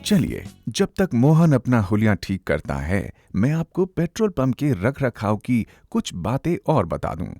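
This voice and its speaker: A man's voice: medium pitch, huge pitch swings, unhurried, few pauses, dark tone, no room sound, soft background music. A man narrating a scripted instructional film, emphatic and instructive, addressing viewers directly on a close, dry microphone. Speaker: narrator, presenter.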